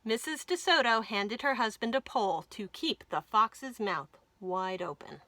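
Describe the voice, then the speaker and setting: A woman's voice: a little high, lively intonation, unhurried, bright, forceful tone. A woman narrating a children's story expressively as a storytime host.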